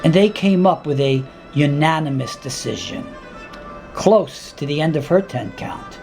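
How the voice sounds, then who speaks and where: A man reading his own dramatic monologue in a deliberate, intense spoken-word style, with weighty pauses and repeated words. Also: fairly high pitch, a little mellow, faint music behind.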